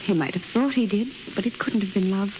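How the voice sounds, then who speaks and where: An actress playing an earnest rival in love in a 1930s radio drama, pleading urgently. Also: female voice, mid-range voice, fairly quick, natural voice. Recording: muffled, faintly hissy 1930s radio recording.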